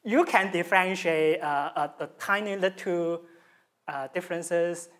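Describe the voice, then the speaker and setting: A young man with a Hong Kong accent presents his research, a native Cantonese speaker talking in English. He is animated and gestures a lot, with frequent fillers and restarts. He speaks into a lectern mic in a lecture room. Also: high voice, a little slow. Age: young adult. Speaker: student presenter. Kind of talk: academic presentation. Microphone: stand mic at lectern.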